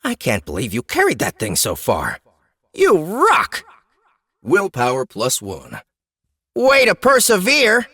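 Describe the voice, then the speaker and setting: A man's voice: high voice, wildly swooping pitch, bright, forceful tone, breathy edge, no room sound, pitched above the performer's own voice, full character voice. Man playing a mocking, swooping game system voice. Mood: mock cheering. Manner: teasing, theatrically drawn-out announcements. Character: mocking disembodied game system announcer, gleeful prankster. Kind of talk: fantasy game notification in audiobook.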